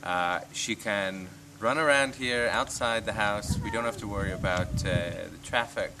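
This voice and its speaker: A man's voice: on the low side, normal speed, far from the mic, poor audio. A man of thirty from Kansas, answering interview questions earnestly with some hesitation. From Kansas, USA. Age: 30s. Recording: off-mic with clear background noise.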